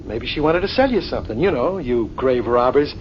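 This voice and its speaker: An actor playing a breezy, streetwise buddy in a 1940s radio drama, bantering in slangy, teasing lines. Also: male voice, mid-range voice, lively intonation, fairly quick, close to an everyday voice. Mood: teasing, knowing. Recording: noisy muffled vintage radio recording.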